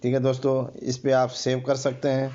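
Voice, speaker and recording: A man's voice: mid-range voice, monotone, mellow, highs cut off. A man hosting a tech tutorial and narrating an app review, often addressing his viewers as friends. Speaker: host of a tech tutorial channel.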